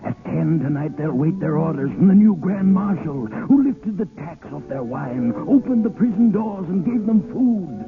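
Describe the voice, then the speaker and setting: An actor playing a loyal, fervent rebel from the tavern, declaiming excitedly in old-time radio style. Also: male voice, high-pitched, normal speed, few pauses, narrow, tinny audio. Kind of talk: 1930s-40s radio acting. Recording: thin old radio broadcast, loud music.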